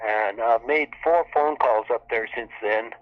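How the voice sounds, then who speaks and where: Male caller, mid-range voice, polite and measured, heard through a dull telephone connection. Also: medium pitch. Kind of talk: spontaneous question on a public call.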